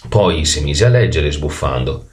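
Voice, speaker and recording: A man with a deep voice tells a children's story. His intonation moves widely and expressively. He is animated and occasionally trips on a word and corrects it. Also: a little slow. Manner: animated, stumbles and corrects words.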